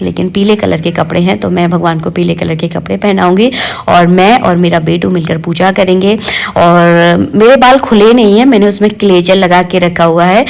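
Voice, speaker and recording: Hoarse, gravelly woman chatting at home. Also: on the low side, darkish tone. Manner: homely, chatty, fond of the filler "jo hai na". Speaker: home vlogger. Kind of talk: casual chatty vlog narration. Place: home kitchen, indoors.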